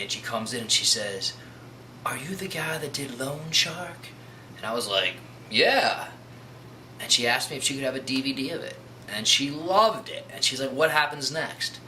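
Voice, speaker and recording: A man's voice: mid-range voice, pushed and bright, poor audio. A man speaking as a comic book writer in a documentary interview, with a dry, wry delivery. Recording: faint background noise.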